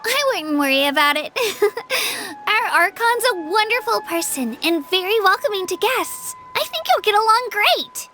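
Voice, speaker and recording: Game casting: an earnest, helpful young girl, very high and lively, friendly and eager. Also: a little slow, hardly any pauses, soft background music, exaggerated voice. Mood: reassuring. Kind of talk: video game dub acting.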